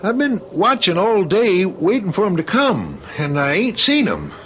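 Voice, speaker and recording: High-voiced folksy country storekeeper. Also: male voice, huge pitch swings, measured pace, fairly bright tone, slight background noise, exaggerated voice. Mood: worried. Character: folksy, worried small-town storekeeper. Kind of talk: old-time radio drama acting. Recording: dull old radio recording.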